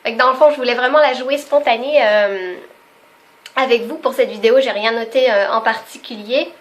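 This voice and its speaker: A young woman talks through her video off the cuff, in a fairly high voice. She laughs easily and fills her pauses with little hesitations, in an unscripted, chatty way. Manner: chatty and spontaneous, laughs often, many fillers. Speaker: vlogger. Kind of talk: casual unscripted video chat.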